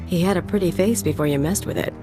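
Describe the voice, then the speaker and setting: A woman acts out a menacing, flirtatious mercenary in a sci-fi game. Her voice sits low and she teases slyly, mocking as she goes, over clear background music. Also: fast talker, lightly acted. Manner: sly, teasing. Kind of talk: video game voice acting.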